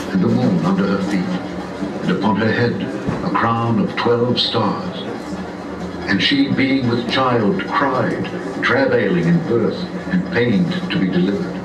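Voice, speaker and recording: A man narrating a puppet show as a dramatic, solemn scripture reading, with theatrical, drawn-out emphasis, recorded live in a theater. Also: mid-range voice. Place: small indoor theater, live performance. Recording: distant echoey live theater recording, loud music. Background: loud guitar and instrumental music.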